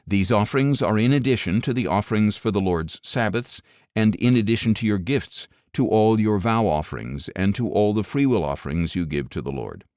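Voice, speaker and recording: A man reading scripture as an audiobook narrator, in a measured, solemn, clearly enunciated way. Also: lowish voice, lively intonation, darkish tone, no echo, clean studio sound.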